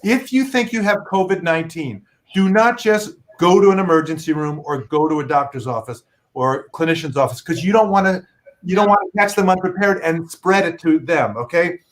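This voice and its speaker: A man's voice: fairly high pitch, brisk. Earnest explaining doctor, a man. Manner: earnest, explains patiently with examples. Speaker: physician guest. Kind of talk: explanatory talk on a video call.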